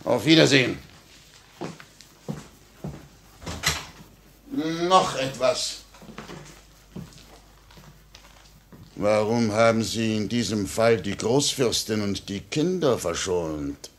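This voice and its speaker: Low, swooping, suave police chief. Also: male voice, expressive, a little hiss or hum. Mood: mocking. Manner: suave, sardonic, theatrically measured. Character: smug cynical male police chief, coaxing and mocking. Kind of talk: 1950 German radio play acting.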